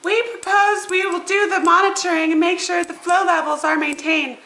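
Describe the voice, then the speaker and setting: Woman as earnest small-town official, very high voice, echoey room, scripted puppet show dialogue. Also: fairly even intonation, normal speed, sharp tone, full character voice. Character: earnest female small-town official seeking economic revival. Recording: roomy, echoing room sound.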